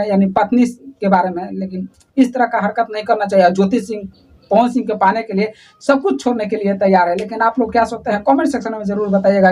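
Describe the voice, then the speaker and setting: A woman's voice: mid-range voice, dark tone. A woman with a Bihari accent giving informal, opinionated news commentary, talking straight to viewers in a colloquial, repetitive way. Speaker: online news presenter.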